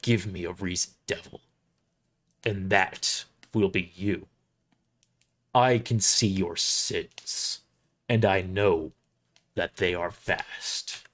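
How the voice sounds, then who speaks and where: A man's voice: low voice, slow pace, long pauses, a bit bright, heavily acted. A man voicing a noble, stern holy knight in a formal, solemn, declamatory way for an audio story. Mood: cold threat.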